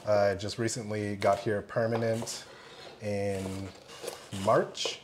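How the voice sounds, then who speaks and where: Young firefighter guy, lowish voice, polite and explanatory, big station kitchen with noise behind. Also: flat delivery, takes it slowly. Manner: polite, explanatory, says "yes, ma'am". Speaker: firefighter-paramedic. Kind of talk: casual cooking chat. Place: large fire station kitchen, indoors. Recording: clear background noise.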